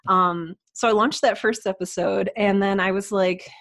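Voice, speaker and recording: A woman talking casually as a writer and podcast guest, animated and chatty as she tells her own stories. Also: medium pitch, moderate pace. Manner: animated, chatty, self-quoting storytelling. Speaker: podcast guest, writer and audio drama creator. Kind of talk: casual interview chat. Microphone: USB desktop microphone.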